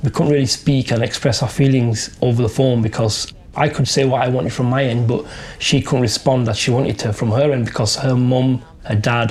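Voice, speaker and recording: A man's voice: mid-range voice, darkish tone. A middle-aged British man telling a personal story in an informal, colloquial, conversational way. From UK, Pakistani family. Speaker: interviewee.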